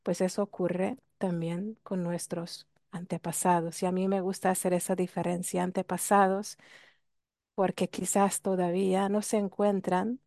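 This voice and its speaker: A woman's voice: on the low side, little pitch movement, normal speed, a little mellow, no room sound, studio quality. A woman with a Hungarian accent, explaining ideas in a reflective way and posing rhetorical questions to listeners. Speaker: workshop speaker. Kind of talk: talk on ancestral healing.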